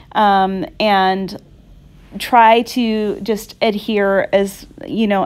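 A woman giving a casual painting tutorial in an unhurried, halting, reassuring way. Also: medium pitch, a little mellow. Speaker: art instructor. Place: indoors at a craft table.

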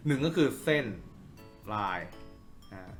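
A man's voice: medium pitch, lively intonation, slow, soft background music. A man giving an informal drawing tutorial as the teacher, repeating phrases for emphasis and often checking in with listeners. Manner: checks in with listeners with frequent tag questions.

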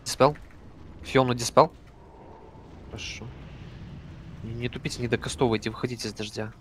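A man leading a game raid over voice chat, giving brisk commands and swearing casually. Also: medium pitch, steady tone, fairly bright tone, a little hiss or hum. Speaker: raid leader.

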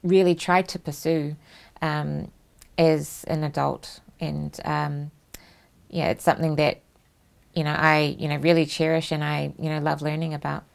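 A woman, hosting a studio talk show and answering questions in a measured, thoughtful way with frequent ums. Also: deep voice, level tone, gravelly. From New Zealand. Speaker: host. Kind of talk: studio interview chat. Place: small studio set.

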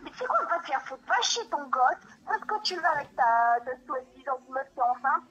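Young woman on speakerphone, high sharp voice, blunt n slangy. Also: lively intonation, fast talker, highs cut off, a little hiss or hum. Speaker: girlfriend on a phone call. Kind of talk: informal phone conversation. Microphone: phone call played on speaker.

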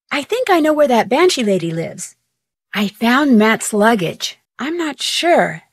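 A woman's voice: mid-range voice, dry recording, clean studio sound. A young woman voicing an inquisitive, plucky amateur detective in a video game, talking conversationally as if on the phone. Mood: concerned, puzzled.

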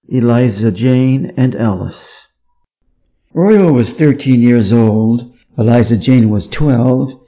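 Dark-voiced American man reading a storybook. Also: mid-range voice, dull audio. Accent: American Midwest. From Wisconsin, USA. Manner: careful, evenly paced read-aloud. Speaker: audiobook reader for English learners. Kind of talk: slow clear storybook reading.

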